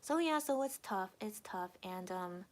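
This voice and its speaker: A young woman talks casually on a live stream, answering her chat comments one by one. She rambles and repeats herself, with plenty of fillers. Her voice sits at an ordinary middle pitch for a woman. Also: expressive, measured pace. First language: English. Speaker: livestream host reading chat.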